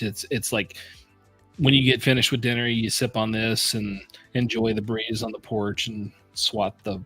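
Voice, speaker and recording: A man's voice: lowish voice, a bit bright, breathy edge. A man from the United States in his thirties, hosting a whiskey tasting and chatting in a laid-back, rambling way. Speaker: whiskey reviewer host. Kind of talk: casual chat.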